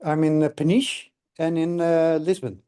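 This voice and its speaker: A man's voice: a little high, fairly even intonation, measured pace, mellow, clean tone. A middle-aged man from the Netherlands, a guest explaining things on a video call and often checking in with "correct?". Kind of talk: casual call conversation.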